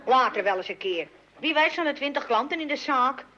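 A woman acting a lively, outspoken young adult daughter in a radio play, chatty and quick to protest. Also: high voice, exaggerated voice. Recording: dull, noisy lo-fi radio recording.

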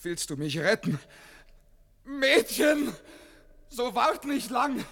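An actor in a classic radio play voicing a tormented man who pleads for rescue, with anguished, drawn-out delivery. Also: very high voice, big pitch swings, fairly bright tone, a little hiss or hum, full character voice. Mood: urgent.

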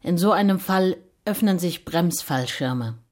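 Formal lady instructor, radio drama, slow, on the lower side. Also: expressive, a little mellow. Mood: instructive. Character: formal female course instructor addressing participants.

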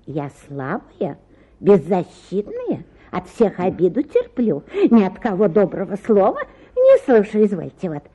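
An actress playing an older, stubborn and plaintive petitioner in a theatrical radio play, whining and insistent. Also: female voice, mid-range voice, huge pitch swings, a little slow, warm tone, slightly rough, heavily acted. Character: pushy, plaintive petitioner, older mother-in-law, obtuse, stubborn.

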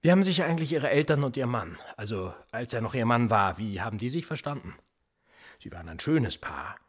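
A needling police interrogator. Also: male voice, mid-range voice, big pitch swings, brisk, husky. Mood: insinuating. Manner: needling, insinuating questions. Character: menacing male police interrogator, probing and mocking. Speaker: police officer. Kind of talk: radio play acting.

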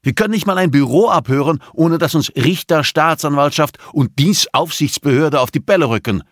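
Male narrator as irascible investigator, audiobook dialogue, raised pitch, scornful, cutting, insistent, close dry sound. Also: moderate pace, no room sound, close to an everyday voice. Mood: urgent, insistent.

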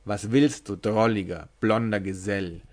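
A man's voice: on the low side, fairly even intonation, measured pace, close to an everyday voice. A man reading the lines of a mocking, laughing seagull in a German audiobook, in taunting sing-song verse. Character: mocking laughing seagull over a stormy cliff.

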